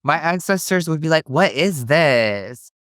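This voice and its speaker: Bubbly young man, smooth dry voice. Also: a little high, lively intonation, a little slow, a bit bright, no echo. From Philippines, raised in Hawaii. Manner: bubbly and playful, says like a lot. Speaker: guest. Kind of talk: casual chat.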